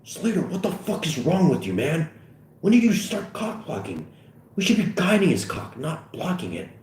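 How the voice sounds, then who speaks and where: A man's voice: a little high, sing-song, moderate pace, darkish tone, reverberant, pitched above the performer's own voice. A man playing a brash, foul-mouthed, joking police officer in a read-aloud comedy script, with a blustering delivery. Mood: scolding. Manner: blustering, swearing.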